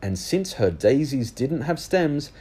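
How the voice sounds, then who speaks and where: A man narrates a children's storybook at an unhurried, slow pace. His delivery is extremely animated, swinging widely in pitch around a medium voice. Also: breathy edge. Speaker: children's book reader.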